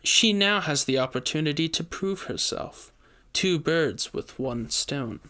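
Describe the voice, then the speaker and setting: A man voicing a sly high-society woman who leads a witch coven, soft and sweet, with a silky manner. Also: expressive, unhurried. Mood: smug, gloating. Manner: gloating, silky.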